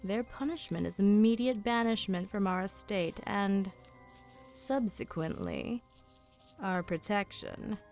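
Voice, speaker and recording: A woman playing an aristocratic female vampire in an ASMR roleplay, coolly menacing and condescending, with formal, deliberate wording. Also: mid-range voice, sing-song. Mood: coolly ominous. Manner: slow, formal, deliberate, softly threatening. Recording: music behind the voice. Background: music.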